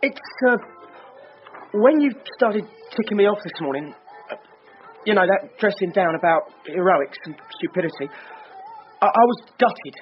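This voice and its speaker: An actor playing an eager, earnest young detective sergeant, slightly hesitant, in a naturalistic radio drama. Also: male voice, high-pitched, expressive, normal speed, pauses often, faint music behind. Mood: awkward, sincere. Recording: dull lo-fi radio broadcast, faint noise.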